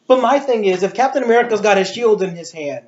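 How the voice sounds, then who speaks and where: A man's voice: high-pitched, lively intonation, fast talker, darkish tone. A man giving casual, opinionated film commentary in an emphatic, ranting way full of rhetorical questions. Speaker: movie reviewer. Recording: muffled, echoey room recording.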